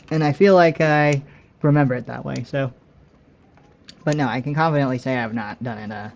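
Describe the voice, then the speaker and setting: A man in his late twenties talks with his stream viewers in a loose, rambling way, often stopping to correct himself. His voice sits at an ordinary middle pitch. The audio is slightly dull and lo-fi. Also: darkish tone. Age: 20s. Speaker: game streamer. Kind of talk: casual live-stream chat with viewers.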